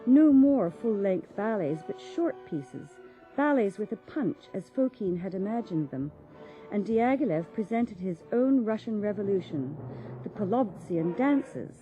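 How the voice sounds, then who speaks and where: A woman giving measured, formal scripted narration for a documentary. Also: medium pitch, lively intonation. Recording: dull lo-fi sound with faint music.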